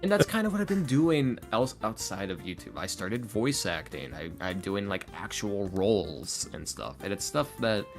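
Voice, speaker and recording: A man chatting casually as a podcast guest, animated and halting, often repeating himself as he goes. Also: fairly low pitch, vocal fry. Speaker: podcast guest, ASMR roleplay creator and voice actor. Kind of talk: casual interview chat. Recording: music playing behind the voice. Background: background music.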